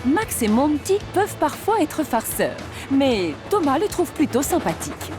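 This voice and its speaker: A woman's voice: high-pitched, big pitch swings, a little slow. A woman, reading scripted narration for a children's cartoon. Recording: music behind the voice.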